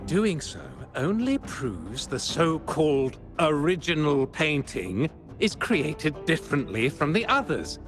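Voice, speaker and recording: A man's voice: on the high side, a bit bright. A man playing a skeptical, condescending accuser in a story game, with a haughty, argumentative way of talking. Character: skeptical, condescending male accuser defending against forgery charges. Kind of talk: dramatic video game story acting.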